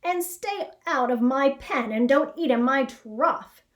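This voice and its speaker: A woman's voice: high voice, lively intonation, takes it slowly, voice pushed up. A woman voicing a bossy, scolding old farm animal in a storybook, speaking emphatically. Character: bossy old cow and grimy old pig, scolding.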